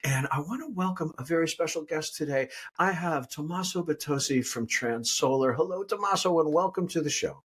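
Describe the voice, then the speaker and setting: A man's voice: a little high, a little fast, few pauses, fairly bright tone, husky. A middle-aged man hosting a podcast, friendly and joking with his guest. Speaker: podcast host. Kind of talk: podcast interview.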